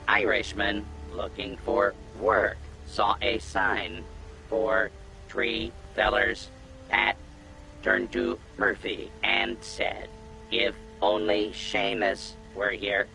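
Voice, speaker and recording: A man's voice: lowish voice, completely flat delivery, slow, vocal fry, music in the background, exaggerated voice, rough recording. An actor voicing a jolly male robot bartender in a game who offers drinks and tells corny jokes in folksy patter. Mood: cheery.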